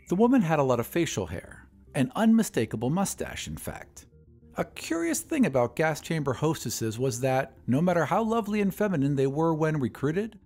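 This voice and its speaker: Expressive male audio drama narrator. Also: a little high.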